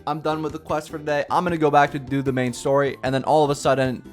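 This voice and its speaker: A man's voice: fairly high pitch, fast. A man hosting a gaming channel, chatting casually and poking fun at himself while he reads through a developer blog. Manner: self-deprecating, chatty, mock-excited cheers. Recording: faint background music.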